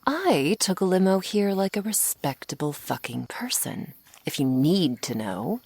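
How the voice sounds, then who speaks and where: A woman's voice: on the low side, very animated, measured pace, a little mellow, breathy edge, heavily acted. A woman playing a flirty, sardonic female vampire in an ASMR roleplay, taunting the listener playfully up close. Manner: sardonic, playful, taunting, with pet names and sighs.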